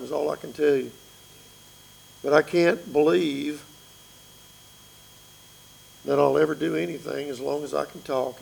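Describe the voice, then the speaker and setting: A man in his fifties with a raspy voice, preaching an earnest sermon that pleads with his listeners. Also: fairly high pitch, slight background noise, rough recording.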